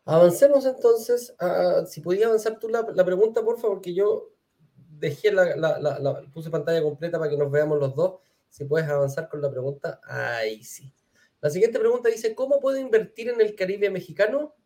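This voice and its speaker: A man hosts a live video-call Q&A, reading each question aloud. His voice is high and soft-edged, and he stumbles briefly over his words now and then. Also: moderate pace. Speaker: live-stream host. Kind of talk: hosting a live Q&A.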